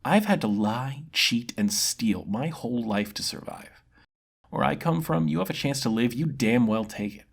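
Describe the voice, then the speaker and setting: A man voicing a blunt, scornful, street-hardened woman adventurer with a sharp, scolding manner in a fantasy story. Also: mid-range voice, expressive, normal speed, vocal fry, husky.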